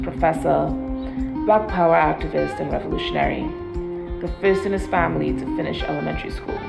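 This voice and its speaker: Woman from Trinidad, very low gravelly voice, measured storytelling, loud guitar underneath. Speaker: panelist. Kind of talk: recorded self-introduction over music. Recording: echoey with loud guitar music. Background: guitar music.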